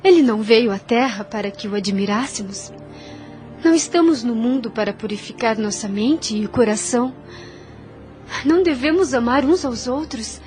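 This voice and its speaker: A Brazilian actress playing a gentle, devout, self-sacrificing young daughter in an audio drama, speaking in earnest, formal phrases. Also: female voice, fairly high pitch, normal speed, faint music behind, close to an everyday voice. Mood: serene piety. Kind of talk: Brazilian radio drama acting.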